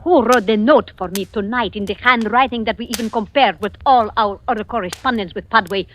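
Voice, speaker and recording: A woman's voice: fairly high pitch, fairly quick, natural voice. Woman playing a cold, mocking secret agent. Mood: stern accusing. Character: cold, mocking female Spanish Republican agent, a trapper. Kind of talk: 1940s radio acting. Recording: noisy old 1940s radio recording.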